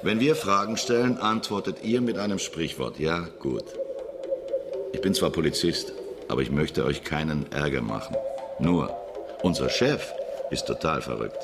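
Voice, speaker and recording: A man's voice: extremely low pitch, expressive, moderate pace, vocal fry, very noisy, full character voice. An actor playing a plain-spoken policeman, blunt and cajoling, in a radio drama. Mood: warning, cajoling. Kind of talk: audio drama acting.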